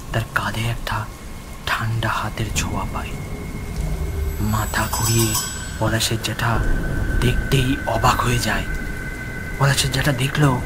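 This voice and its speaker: A man narrating a scripted horror story dramatically, with suspenseful pauses between short phrases. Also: on the low side, heavy background noise. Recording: music, rain and thunder behind voice.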